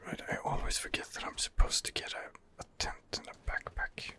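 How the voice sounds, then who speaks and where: A man quietly narrating his role-play game in a breathy ASMR whisper, musing and rambling hesitantly, close to the microphone. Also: moderate pace. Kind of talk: ASMR gaming commentary.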